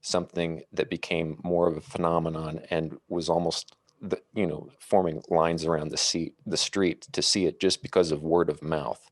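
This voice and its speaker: A man on a podcast panel casually discussing films in a measured, thoughtful, somewhat halting way. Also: low voice, flat delivery, no echo.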